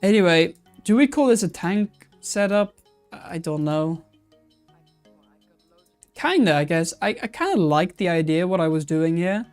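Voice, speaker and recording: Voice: medium pitch, animated, a little slow, a little mellow, soft background music. A live-stream host chatting casually while reacting to old aquarium videos, thinking aloud with many restarts and answering viewer comments.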